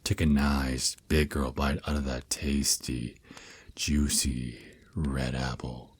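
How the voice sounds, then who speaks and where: A man playing a centuries-old vampire naga boyfriend, a tender mentor speaking slowly and soothingly in an intimate ASMR roleplay, with a very deep, husky voice. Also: level tone, slight background noise. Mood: tender, encouraging. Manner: slow, soothing, teasing endearments.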